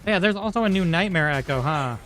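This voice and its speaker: A man streaming a game, giving casual commentary and thinking aloud in a low-key way. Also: lively intonation, fairly quick, fairly bright tone. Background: faint game music.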